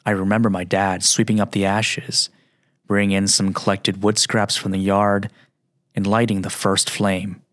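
A man hosting a radio show, narrating in a slow, measured, reflective way; his first language is Mandarin. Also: lowish voice, little pitch movement, a bit bright, dry recording, clean studio sound.

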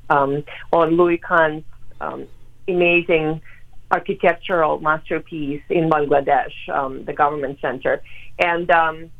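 Ukrainian-born woman pianist on the phone, lower voice, tinny line, lots of uhs. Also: steady tone, unhurried, creaky. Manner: earnest, many ums and uhs. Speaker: pianist guest. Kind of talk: phone interview. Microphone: telephone.

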